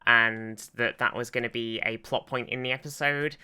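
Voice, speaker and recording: A man's voice: mid-range voice, steady tone, moderate pace, bright, forceful tone, smooth. A man co-hosting a podcast in casual chat, rambling and circling back over his words with frequent restarts. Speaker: podcast host.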